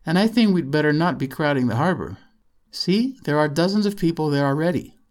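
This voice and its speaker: A man playing a tall American tourist in an audiobook, speaking in a gentle, measured and sensible manner. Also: fairly high pitch, animated, lightly acted. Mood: friendly, sensible. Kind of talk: audiobook character dialogue.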